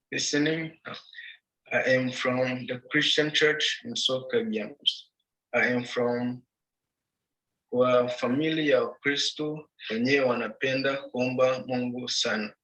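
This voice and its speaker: A man from Uganda reading his own poem aloud in a slow, deliberate recitation. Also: mid-range voice, a bit bright, low-quality recording. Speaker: student poet. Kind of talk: poetry reading.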